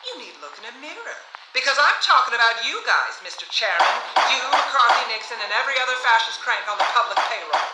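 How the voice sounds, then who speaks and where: A sardonic young Hollywood woman, played by an actress, makes grand, defiant speeches in a period radio drama. The sound is roomy, with clear noise behind her. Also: fairly high pitch, big pitch swings, fairly quick, hardly any pauses. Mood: scathing, defiant. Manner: sarcastic, grandly rhetorical. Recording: roomy, noisy hall sound.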